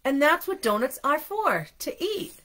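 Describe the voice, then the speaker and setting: A woman's voice: sits high, sing-song, a little slow, noisy background, voice pushed up. Woman acting a flustered, stammering storybook man. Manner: hesitant, stammering. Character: bumbling, awkward man who eats donuts, flustered.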